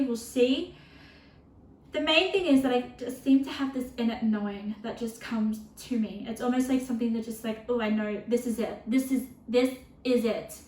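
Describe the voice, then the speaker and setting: A woman giving a casual tarot reading, chatty and rambling with lots of fillers, her voice turning a little croaky. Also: fairly high pitch, reverberant, recorded from a distance. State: voice getting croaky from thirst. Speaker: tarot reader.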